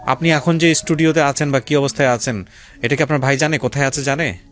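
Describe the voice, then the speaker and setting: A Bangladeshi man hosts a radio interview in a mid-pitched voice. He talks quickly, probing with questions and narrating life stories, with faint music underneath. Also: steady tone, fairly bright tone. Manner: probing, asks repeated questions. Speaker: radio show host. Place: radio studio. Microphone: studio microphone.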